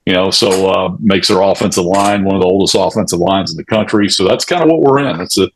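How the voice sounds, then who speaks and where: A man on a sports talk show, giving unscripted analysis in a halting, conversational way. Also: sits low, a crowd in the background, low-quality recording. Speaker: sports radio show panelist.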